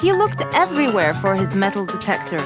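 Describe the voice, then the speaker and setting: A woman's voice: mid-range voice, sing-song, brisk. A woman narrating a scripted story for children. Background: loud music.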